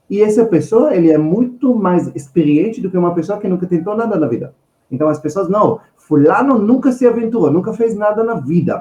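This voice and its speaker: Male podcast guest with an Israeli accent: mellow tone, emphatic conversational delivery, recorded in a roomy space. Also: high-pitched, highs cut off. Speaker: guest finance expert. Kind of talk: conversational podcast storytelling and advice.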